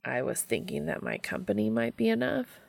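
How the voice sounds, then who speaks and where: A woman voicing an earnest, courteous, quietly flirty man in a storybook reading, with formal, measured wording. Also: mid-range voice, very animated, creaky, dry recording, voice pushed up, heavily acted. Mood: courteous, inviting.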